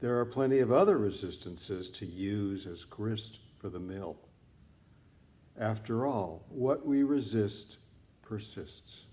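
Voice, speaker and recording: Guy reading a mindfulness audiobook, really slow and measured, lots of pauses, relaxed mellow voice. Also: fairly low pitch. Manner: deliberate, measured phrasing with pauses. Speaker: author reading own book on mindfulness. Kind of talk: scripted audiobook narration, meditation teaching.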